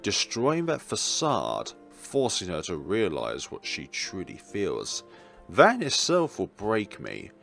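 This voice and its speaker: A man reading a visual novel story aloud in an expressive, dramatic way, as a let's player. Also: lowish voice, takes it slowly, creaky. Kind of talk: expressive read-aloud narration of game text. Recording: music playing behind the voice. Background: game background music.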